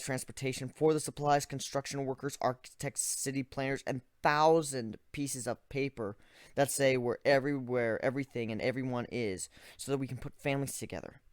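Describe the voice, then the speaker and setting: A man's voice: medium pitch, no echo, clean studio sound, lightly acted. A man voicing a young griffin inventor, analytical and weary, in a dramatic story reading. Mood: weary, downcast. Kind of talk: dramatic reading of first-person fan fiction.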